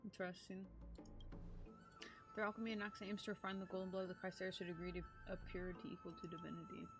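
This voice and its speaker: A woman's voice: lowish voice, little pitch movement, very fast, over music. A young woman live streaming a playthrough, reading game text aloud and reacting in a casual, sweary way.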